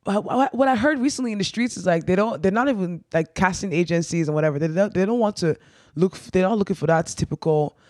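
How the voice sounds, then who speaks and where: A woman appearing as a guest performer on a podcast, answering earnestly and haltingly, with many restarts. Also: on the low side, a little mellow, no room sound, studio quality. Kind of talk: casual interview chat.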